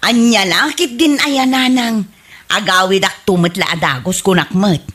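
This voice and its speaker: A woman's voice: medium pitch, moderate pace, a bit bright, breathy edge. A woman with an Ilocano accent playing a carefree, gullible wife in a radio comedy drama, breezy and defensive. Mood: brushing off. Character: carefree, gullible wife, fond of lucky charms.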